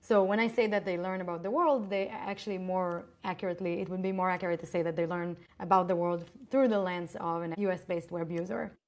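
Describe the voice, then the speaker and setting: Female researcher guest, Hebrew mother tongue, lower register, conversational, plain-worded, lots of filler "like". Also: steady tone, brisk, hardly any pauses. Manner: plain simple wording, frequent "like" fillers. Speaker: academic AI researcher, interview guest. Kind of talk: conversational explanatory interview answers.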